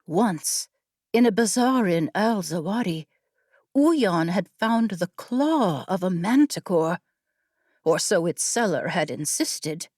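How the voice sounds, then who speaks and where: A female narrator reads fantasy fiction at an unhurried pace. Her storytelling is measured and deliberate, with a medium pitch and a close, dry sound free of room echo. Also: a little mellow. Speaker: audiobook narrator.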